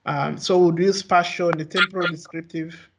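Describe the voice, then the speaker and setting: Man, high voice, Swahili native speaker, research presentation, hesitant, many ums and restarts. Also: steady tone, gravelly, noisy background. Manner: halting, frequent fillers and restarts. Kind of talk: academic research talk.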